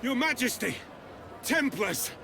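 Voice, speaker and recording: Servile royal herald in a game, anxious, high and very up-and-down, some background noise. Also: male voice, very animated, slow, vocal fry, full character voice. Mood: nervous, urgent. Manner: apologetic, servile. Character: anxious, deferential royal messenger. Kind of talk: theatrical video game acting.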